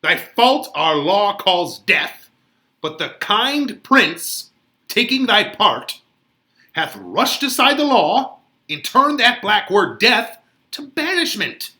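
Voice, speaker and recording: A man playing an older, patient but exasperated friar in a dramatic Shakespeare reading, measured, solemn and admonishing. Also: sits high, very animated, sharp tone, exaggerated voice.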